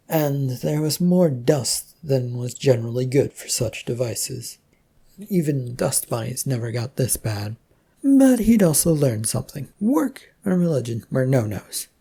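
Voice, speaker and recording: Low, creaky, mellow story narrator. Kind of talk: scripted fiction narration.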